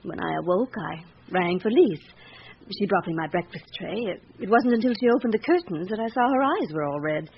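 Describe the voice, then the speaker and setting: Haughty, animated wife. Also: female voice, fairly low pitch, fairly quick, vocal fry. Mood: agitated. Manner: sharp-tongued, indignant, haughty. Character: haughty, brittle wife and mother, suspicious and easily affronted. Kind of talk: radio drama acting. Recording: dull, noisy old radio recording.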